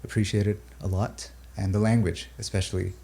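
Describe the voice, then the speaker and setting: A man from Pakistan and the UAE, native Urdu speaker, talking thoughtfully about his music in a halting, filler-filled way. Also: lowish voice, flat delivery, vocal fry, mellow, husky. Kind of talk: reflective interview talk.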